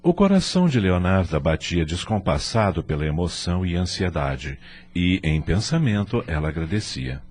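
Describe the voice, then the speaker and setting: A man's voice: low voice, big pitch swings, normal speed, a little mellow, breathy edge. A Brazilian man delivering scripted narration for an audio drama.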